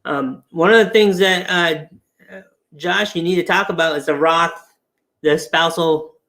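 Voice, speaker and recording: A man in his forties, a financial planner, explaining retirement topics in a relaxed way over a video call from a room at home. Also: a little high, measured pace, vocal fry. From England. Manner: explanatory, relaxed, laughs easily. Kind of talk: casual webinar explanation.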